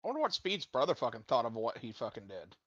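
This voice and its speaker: A man's voice: mid-range voice, big pitch swings, fast, fairly bright tone, breathy edge, no echo. A man on a livestream talking bluntly in casual chat with heavy cursing. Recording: dull, dry lo-fi mic.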